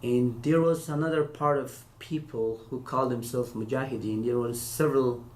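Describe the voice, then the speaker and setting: Halting man in echoey room. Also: medium pitch, fairly even intonation. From Afghanistan. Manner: hesitant, halting non-native English with many restarts. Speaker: interview guest, former interpreter. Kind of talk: casual interview answers. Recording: echoey room with faint hiss.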